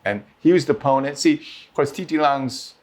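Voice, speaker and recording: A man teaching swordsmanship, answering questions in a rambling, self-interrupting and lively way. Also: medium pitch, a little fast. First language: English. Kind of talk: conversational Q&A answers.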